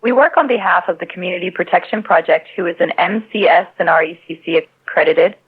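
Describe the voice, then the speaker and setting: Female robocall agent, polite scripted pitch, slightly husky, phone-line sound. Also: fast. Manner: polite, persistent. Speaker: AI robocall telemarketer. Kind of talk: scripted sales call.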